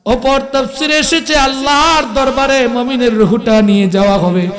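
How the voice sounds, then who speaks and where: A man's voice: very high voice, fairly even intonation, fairly bright tone. A man delivering an impassioned Islamic sermon, telling it dramatically and emphatically, with melodic, chant-like phrasing. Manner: emphatic, slow, breaks into melodic chant and drawn-out cries. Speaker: Islamic preacher.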